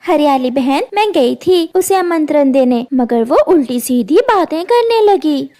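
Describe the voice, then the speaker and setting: A woman's voice: high voice, expressive, a little slow, warm tone. A woman voicing a kind mother sparrow in a cartoon, earnest and pleading. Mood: concerned.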